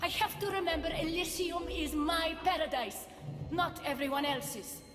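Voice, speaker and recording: A woman's voice: extremely high pitch, moderate pace, fairly bright tone, soft background music. A woman playing a haughty, scornful goddess queen in a video game, speaking with imperious poise. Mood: haughty, disdainful. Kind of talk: video game cutscene acting. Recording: echoing hall with long reverb.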